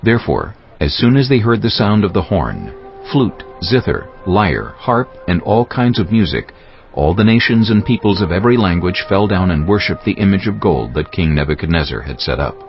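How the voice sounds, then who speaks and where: A man's voice: low voice, very animated, moderate pace. A man narrating scripture from a script in a dramatic audiobook style. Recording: dull lo-fi audio, faint music.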